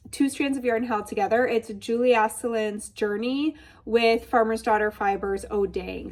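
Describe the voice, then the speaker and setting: A woman with a Michigan accent chats bubbly and casually about her craft, full of asides. Her voice sits fairly high and comes out congested and a little nasal, like someone with a cold. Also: a little mellow. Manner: chatty, bubbly, with many asides. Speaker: knitting podcast host. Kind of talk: casual chat.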